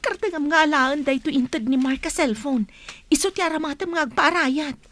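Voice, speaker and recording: A woman's voice: high-pitched, moderate pace, a bit bright. A woman playing a cautious, secretive young household helper in a radio comedy drama, earnest and urgent.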